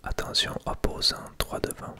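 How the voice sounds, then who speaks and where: A person with a Québécois French accent whispering casual ASMR gameplay commentary in short remarks, breathy and close to the microphone. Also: normal speed. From Quebec, Canada. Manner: soft, casual, reacting to the game in short remarks. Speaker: gaming ASMR video creator.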